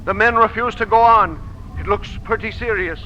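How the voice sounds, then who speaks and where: An actor playing a rough but respectful, stubborn sailor in an old-time radio drama. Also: male voice, high voice, moderate pace, music in the background, natural voice. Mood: deferential, worried. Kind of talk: 1940s radio acting. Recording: dull, noisy old radio recording.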